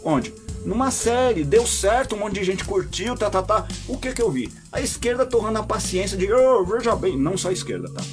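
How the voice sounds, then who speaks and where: A Brazilian man hosting a podcast, delivering an opinionated monologue straight to his listeners and jumping into high mocking voices when he quotes people. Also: expressive, fairly quick, hardly any pauses, music in the background. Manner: addresses listeners directly as "my dear". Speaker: podcast host.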